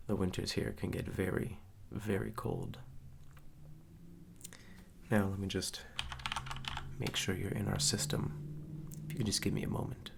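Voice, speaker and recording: Casting: male actor as softly ominous, courteous hotel receptionist, ASMR roleplay; very slow delivery, gravelly fry. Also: slight background noise. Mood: polite, welcoming. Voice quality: soft-spoken, low, gravelly. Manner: slow, measured, hushed, politely eerie.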